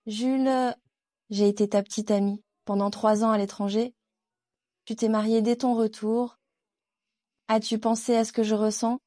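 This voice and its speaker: A woman acting a jilted ex-girlfriend in a dubbed melodrama. She is haughty and wounded, with a dark, mellow yet fairly high voice over music. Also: little pitch movement, normal speed, long pauses, no room sound, studio quality, lightly acted. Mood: wounded, pleading.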